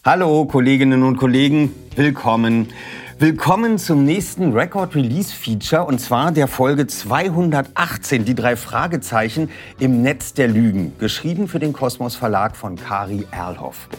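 A man's voice: mid-range voice, expressive, normal speed, soft background music. A man presenting a podcast in a conversational style, as its host.

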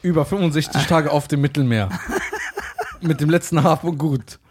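A man's voice: fairly high pitch, moderate pace, a little hiss or hum. A man from southwest Germany co-hosting a podcast, teasing his guest and telling rambling stories. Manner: teasing, storytelling banter. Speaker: podcast co-host. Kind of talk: casual podcast chat.

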